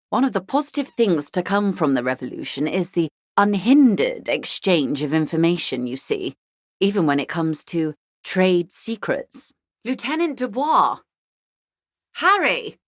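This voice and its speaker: A woman playing a shrewd, wealthy older negotiator in a video game, speaking in a polished, wry, measured way. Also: lowish voice, a bit bright, no room sound, clean studio sound. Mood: alarmed, urgent. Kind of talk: video game character dialogue.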